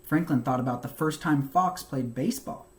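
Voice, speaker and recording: A man reading a children's book aloud as a teacher, with expressive, emphatic narration for young listeners. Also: medium pitch, moderate pace.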